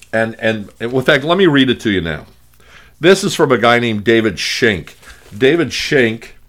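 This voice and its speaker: A man with a gravelly voice, hosting a talk show and speaking in a halting way with many fillers and restarts. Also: medium pitch. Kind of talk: conversational radio talk.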